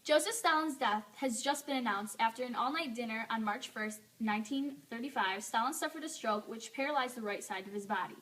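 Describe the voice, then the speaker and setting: Young woman doing a homemade newscast, sharp highish voice, kinda far from the mic. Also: a little fast, hardly any pauses. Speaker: newscast anchor in a history project. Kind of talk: scripted amateur newscast reading. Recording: distant mic with faint noise.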